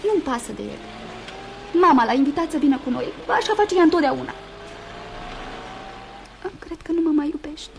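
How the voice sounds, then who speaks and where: An actress playing a coy, lovestruck young woman from a good family, girlish and often breathy, in radio theatre style. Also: sits high, lively intonation, pauses often, a little hiss or hum. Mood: hurt, pleading. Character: beautiful young councillor's daughter, coy and lovestruck. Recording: studio radio play with loud music.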